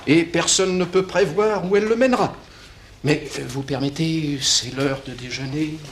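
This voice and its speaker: An actor playing a detached, wry confidant of a Roman emperor, aloof and ironic, in a mid-century French radio play. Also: male voice, on the high side, normal speed, close to an everyday voice. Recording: old radio recording, roomy, faint noise.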